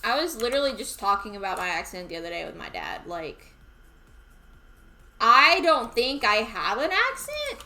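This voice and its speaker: Bright, lively Southern woman. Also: fairly high pitch, moderate pace, slight background noise. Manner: rambling self-talk, easily amused. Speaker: streamer. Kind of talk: casual gameplay chatter.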